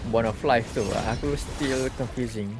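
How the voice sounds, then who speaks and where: A man's voice: mid-range voice, steady tone, normal speed, background noise. A Malaysian-accented man, a native Malay speaker, giving casual, slangy, reactive live commentary as a game streamer. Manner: slangy, reactive, mixes two languages. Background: game music.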